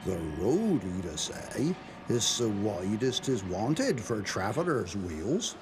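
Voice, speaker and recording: A man with a Dorset accent reciting dialect poetry as an expressive, emphatic storyteller. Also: on the low side, takes it slowly. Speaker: poetry reader. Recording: noticeable background noise, 1990s television soundtrack.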